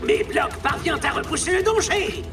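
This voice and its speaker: A woman playing an excited football match commentator in a cartoon dub. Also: high voice, normal speed. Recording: distant voice under loud music.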